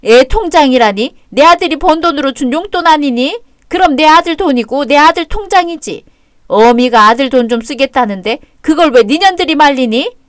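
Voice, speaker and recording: A woman's voice: high voice, slow, few pauses, voice pushed up. A woman voicing an abusive, greedy older mother-in-law who screeches insults in an audio drama. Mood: vicious. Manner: screeching, insulting, whining when cornered. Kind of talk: Korean audio drama acting.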